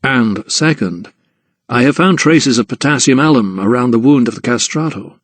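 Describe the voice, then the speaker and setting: An earnest young gentleman doctor as a male narrator plays him in a storybook. He is courteous and formal, with a medium pitch and a sensitive temper. The recording is close and dry. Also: fairly quick, no room sound, natural voice. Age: young adult.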